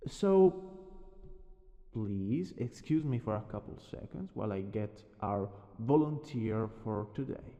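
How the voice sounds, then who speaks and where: A man acts out a gently dominant male teacher with an Italian accent in an ASMR roleplay. He speaks slowly and dotingly, with a melodic swing in pitch, coaxing as he instructs. The voice rings in a long hall-like reverb. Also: animated, dull audio. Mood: lecturing, composed.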